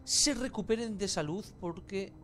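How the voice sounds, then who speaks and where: A man's voice: sits high, normal speed, fairly bright tone. A man with an accent from Spain giving casual, colloquial gameplay commentary with mild swearing. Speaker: gamer, let's play host.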